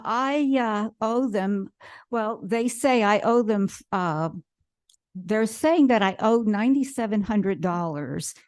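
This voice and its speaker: Woman, homeowner testifying, measured, formal, detailed, hesitant fillers, dry podium mic. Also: fairly high pitch, darkish tone, clean tone, no room sound. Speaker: homeowner testifying before a committee. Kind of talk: public testimony. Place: legislative hearing room.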